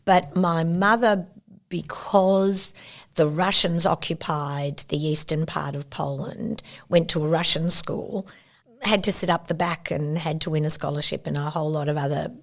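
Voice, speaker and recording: A woman's voice: low-pitched, creaky. A woman, thoughtfully recounting her family's story as an interview guest. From Australia. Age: 70s. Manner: thoughtful, unhurried recollection with warm asides. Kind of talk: reflective personal storytelling.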